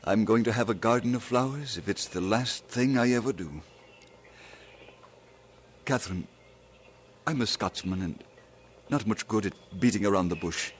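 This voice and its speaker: An actor playing an earnest young Scottish minister, speaking gently and haltingly in a radio drama. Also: male voice, fairly low pitch, close to an everyday voice. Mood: cheerful. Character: earnest Scottish young male preacher in love. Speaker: minister proposing marriage. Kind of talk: 1950s radio drama acting.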